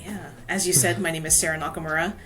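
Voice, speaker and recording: Low-voiced chatty woman guest. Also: steady tone, quick pace, a bit bright, soft background music. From Hawaii. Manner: chatty, many "you know" fillers. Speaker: guest author. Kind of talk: casual podcast interview.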